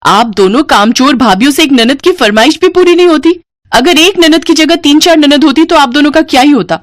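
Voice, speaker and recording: A woman's voice: high-pitched, animated, fairly quick, no echo, voice pushed up. A woman voicing a spoiled, demanding young woman in a family story, petulant and complaining as she bosses her sisters-in-law.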